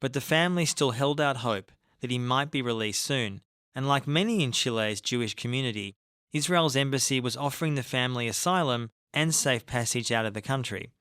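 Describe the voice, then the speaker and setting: Measured male documentary narrator. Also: mid-range voice, a bit bright, clean tone, no room sound, clean studio sound. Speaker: podcast narrator. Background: faint music.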